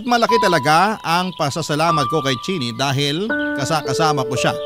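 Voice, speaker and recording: A fairly high-voiced man with a Filipino accent narrates a letter in a dramatic radio host's style. Loud music plays behind the scripted reading. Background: loud music bed.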